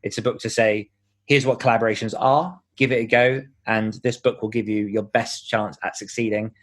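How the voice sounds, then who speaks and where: A man's voice: lowish voice, fairly even intonation, normal speed, a bit bright. A man speaking as an author guest in a conversational podcast interview, explaining things in an encouraging way.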